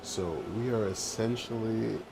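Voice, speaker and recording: Deep, creaky-voiced man streaming games. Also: a little slow, background noise. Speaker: video game streamer. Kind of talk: casual gameplay commentary. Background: video game music.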